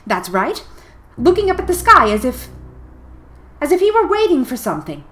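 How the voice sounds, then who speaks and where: A woman's voice: sits high, sing-song, normal speed, a bit bright. A woman playing a suspicious, gossiping neighbour in a radio play. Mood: suspicious, insinuating.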